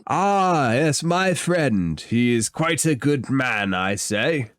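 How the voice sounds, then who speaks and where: A man voicing a pompous, indignant hippo-like alien sheriff with a stern, drawn-out delivery in a tabletop game. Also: medium pitch, big pitch swings, fairly bright tone, dry recording, clean studio sound.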